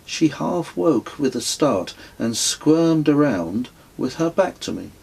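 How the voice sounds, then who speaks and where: A man reading his own memoir as an audiobook, telling it in a measured, wry storytelling way. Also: a little high, very animated, vocal fry, a little mellow, breathy edge, low-quality recording. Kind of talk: scripted audiobook narration.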